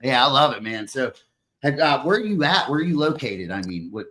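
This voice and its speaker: A man hosting a live podcast, chatting casually in an excitable, rambling way on a video call. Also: mid-range voice, fairly quick. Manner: excitable, rambling, says "dude" and "bro". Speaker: podcast host. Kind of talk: casual chat.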